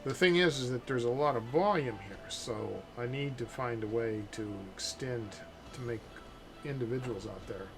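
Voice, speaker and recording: Man, live-stream sculptor, casual joking chat, gravelly edge, music bed. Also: medium pitch, moderate pace. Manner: relaxed, joking, thinking aloud while sculpting. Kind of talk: casual chat while working.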